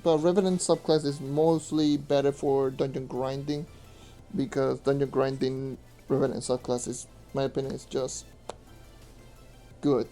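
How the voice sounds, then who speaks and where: A young man streaming a game and answering questions in loose, rambling casual talk, with a hoarse voice he says he is losing. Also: medium pitch, little pitch movement, unhurried, warm tone. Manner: rambling, loose with fillers, mutters to himself. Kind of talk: casual gameplay commentary and Q&A. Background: faint music.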